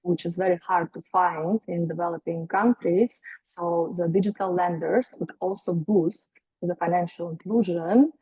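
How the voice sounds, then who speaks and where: A woman's voice: lowish voice, warm tone, slightly rough, dry recording, low-quality recording. A woman from Latvia, explaining matter-of-factly as a guest on a webinar over a video call. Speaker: guest speaker. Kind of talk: webinar interview.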